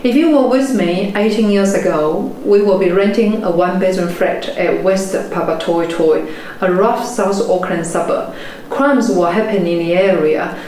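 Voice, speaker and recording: A woman in her forties with a Chinese accent, teaching in a measured, earnest way. Her voice sits at an ordinary pitch for a woman. The room around her rings with heavy echo, and a faint noise hums underneath. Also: mellow. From China. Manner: measured, earnest storytelling delivery. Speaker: property investment mentor. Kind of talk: motivational webinar talk.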